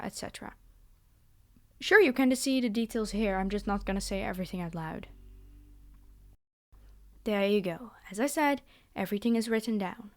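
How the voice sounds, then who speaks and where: High-voiced, commanding vampire girl played by a woman. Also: darkish tone, close to an everyday voice. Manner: bargaining, condescending, reassuring, speaking directly to the listener. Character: commanding vampire girl, teasing, coaxing captor of a human pet. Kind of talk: scripted ASMR roleplay monologue.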